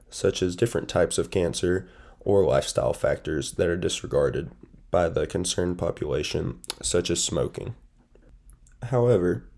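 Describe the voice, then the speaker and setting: A young man with a stuffy, nasal voice from a sinus infection, reading a formal class presentation in a measured, steady way. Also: low-pitched, creaky. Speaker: student presenter.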